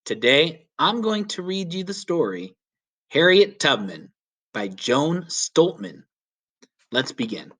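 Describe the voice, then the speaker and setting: A man reading a children's story aloud as a teacher would, with clear, deliberate, emphatic phrasing. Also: a little high, very animated, a bit bright. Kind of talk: children's read-aloud narration.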